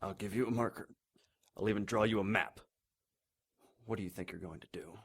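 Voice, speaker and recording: Bitter, low-voiced dying old man. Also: brisk. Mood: cold, scheming. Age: older. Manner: haughty, indignant, formal, frequent coughing and throat-clearing. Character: bitter, scheming, dying old man, haughty rich uncle. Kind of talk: audio drama villain acting.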